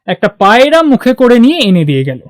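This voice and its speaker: A cheeky young man courting in an audio romance, voiced by a woman. The voice is fairly high and swings animatedly, with a persistent, teasing charm, recorded close and dry. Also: sing-song, a little fast, no echo. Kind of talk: audio drama dialogue.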